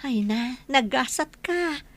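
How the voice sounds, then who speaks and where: A woman's voice: sits high, animated, takes it slowly, full character voice. A woman playing a supportive, admiring friend in an Ilocano radio drama. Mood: admiring, encouraging. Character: supportive, admiring woman congratulating a new doctor.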